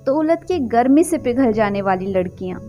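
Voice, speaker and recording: A woman's voice: on the high side, animated, normal speed, dark tone, muffled recording, faint music behind, lightly acted. A woman storyteller voicing a rich, lovelorn young bachelor who talks to his buddy in a casual, wistful way. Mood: wistful, complaining.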